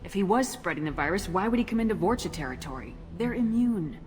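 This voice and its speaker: A woman playing a calm, firm, persuasive space soldier commander in a video game. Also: mid-range voice, quick pace, in a large hall, natural voice. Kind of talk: dramatic video game acting.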